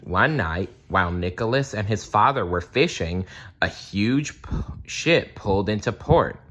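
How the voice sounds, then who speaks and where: A man reading a children's story aloud in a deliberate, storytelling manner. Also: fairly low pitch, lively intonation, a bit bright.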